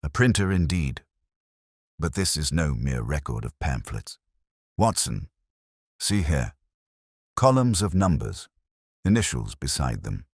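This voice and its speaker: A man voicing a keen, commanding detective in an audiobook, speaking in formal, deliberate phrases. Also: rumbling low, gravelly, breathy edge, no echo, clean studio sound, exaggerated voice. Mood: keen, accusing. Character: male master detective, keen, commanding and coolly analytical.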